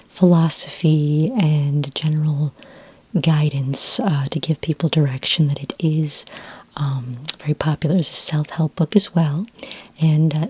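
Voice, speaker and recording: Breathy, low-pitched whispering ASMR woman. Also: dry recording. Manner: gentle, helpful, hesitant. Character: friendly, patient futuristic library librarian. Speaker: ASMR roleplay performer. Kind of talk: soft-spoken ASMR roleplay. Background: computer keyboard typing.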